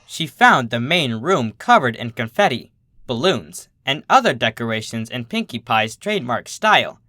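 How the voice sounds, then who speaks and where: An expressive storyteller reads scripted fiction in a bright, forward voice. The pitch moves around in a lively way, and the sound is dry and close. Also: moderate pace, dry recording. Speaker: fan fiction reader.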